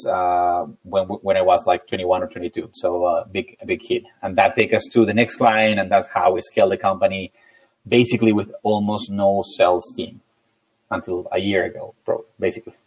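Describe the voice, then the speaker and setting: Low-voiced Peruvian man being interviewed. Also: normal speed, low-quality recording. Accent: Peruvian Spanish. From Peru. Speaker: interview guest, tech company founder. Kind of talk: conversational interview answers.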